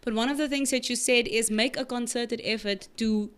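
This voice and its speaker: A woman's voice: a little high, steady tone, normal speed, fairly bright tone. A woman, an Afrikaans speaker, co-hosting a podcast in casual chat, talking freely and laughing often. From South Africa. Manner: chatty, laughs often, restarts sentences. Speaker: podcast co-host.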